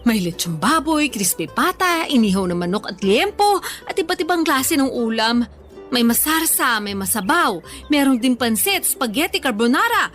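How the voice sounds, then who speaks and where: A woman's voice: high-pitched, very animated, moderate pace, a bit bright. An actress playing a playful, food-loving young woman in a Tagalog radio drama, chatting casually in Taglish with a Filipino accent and a teasingly defensive manner. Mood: hungry, enthusiastic. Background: background music.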